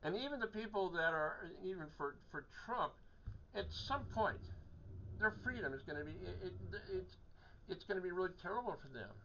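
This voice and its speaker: An older man, a hospital chaplain, talking in an earnest, rambling way with halting restarts, his voice slightly hoarse from a cold. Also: sits high, expressive, pushed and bright, highs cut off, slight background noise. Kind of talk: casual conversation.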